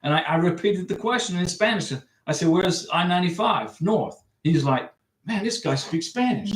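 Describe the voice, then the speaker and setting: A man's voice: high-pitched, expressive, normal speed, reverberant, low-quality recording. A man hosting a livestream, chatting casually and rambling as he reads viewer comments and answers them. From United States. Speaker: livestream host giving visa advice. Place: home room.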